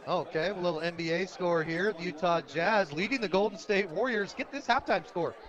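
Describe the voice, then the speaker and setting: An American man works as a radio play-by-play announcer at a football game. His voice sits high for a man, with an energetic, conversational delivery. He is outdoors at a stadium, with a clearly audible crowd behind him. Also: a little fast, muffled recording. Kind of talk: live American football commentary.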